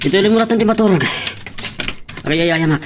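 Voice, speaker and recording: A man's voice: high-pitched, quick pace, far from the mic, background noise, lightly acted. A man acting a laid-back, playful young husband and son in an Ilocano audio drama, with a Filipino Ilocano accent. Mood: absorbed.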